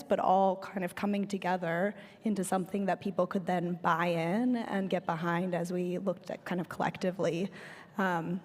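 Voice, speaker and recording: A hoarse woman answers as a panelist, thinking aloud in a measured way with frequent ums. Her voice sits in the middle range. A big hall's long reverb follows each phrase. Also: mid-range voice, a little mellow. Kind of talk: reflective conference panel talk. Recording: reverberant hall.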